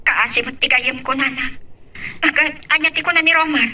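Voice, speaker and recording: A woman's voice: high-pitched, a little fast, bright, forceful tone, far from the mic. Woman acting piercing, bitter young Ilocano woman. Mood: accusing, bitter. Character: bitter, lovesick young woman, scorned in love. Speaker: voice actor in a drama.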